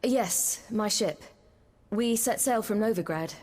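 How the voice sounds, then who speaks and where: A woman playing a guarded, weary young traveller in a video game, measured and reticent, with a soft husky voice. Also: mid-range voice. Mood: subdued, reticent. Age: young adult.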